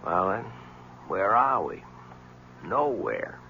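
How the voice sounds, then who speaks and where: Wry, animated male reporter. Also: low voice, slow, heavily acted. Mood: probing. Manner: wry, polite, questioning. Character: wry, skeptical newspaper reporter, probing but courteous. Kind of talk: 1970s radio drama acting. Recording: dull, hissy old radio broadcast.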